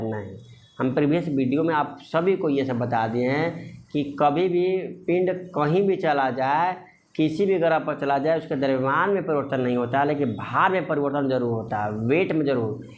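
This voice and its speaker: Male physics tutor, fairly high voice, repetitive question-and-answer explaining, noisy ambient recording. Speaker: physics teacher for exam candidates. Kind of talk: informal explanatory lesson, working through numericals.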